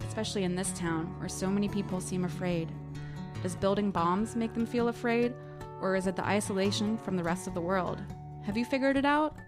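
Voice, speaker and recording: A woman's voice: medium pitch, lightly acted. A woman reading a novel aloud as a fearful, grieving teenage girl, in an earnest, confessional manner.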